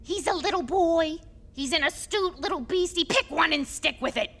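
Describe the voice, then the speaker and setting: Woman acting a creaky, cantankerous old undead organist. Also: extremely high pitch, moderate pace, bright, forceful tone, heavily acted. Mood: irate. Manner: blunt, sarcastic, cantankerous. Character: cranky, foul-mouthed old undead woman, show organist. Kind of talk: comic horror radio-show acting.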